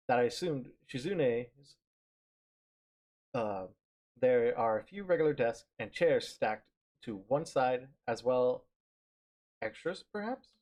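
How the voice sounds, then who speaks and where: A man reading a visual novel aloud on a stream, narrating haltingly and often repeating words as he goes. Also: medium pitch, darkish tone. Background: music.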